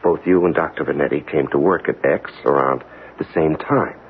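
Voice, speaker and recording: An actor playing a dogged, anxious investigator with a halting, probing delivery in a 1970s radio drama. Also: male voice, a bass voice. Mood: gentle, urgent. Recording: tinny, faintly hissy 1970s radio broadcast.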